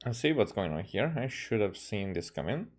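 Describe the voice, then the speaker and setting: A man's voice: lowish voice, sing-song, moderate pace, background noise. A man from Hungary casually reacting to a game as he streams, with dry, offhand remarks.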